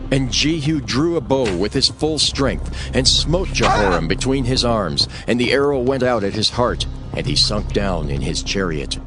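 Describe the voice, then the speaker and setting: A man's voice: medium pitch, animated, moderate pace, few pauses. A man narrating scripture as a solemn storyteller in a dramatized reading. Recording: music under the voice.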